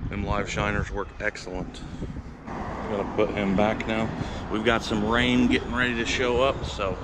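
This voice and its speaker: A man vlogging while fishing outdoors, narrating casually and cheering loudly when fish bite. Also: fairly low pitch, normal speed. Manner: excitable, bursts of loud cheering on catches. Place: outdoors by urban water, windy. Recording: windy outdoor audio, clear background noise.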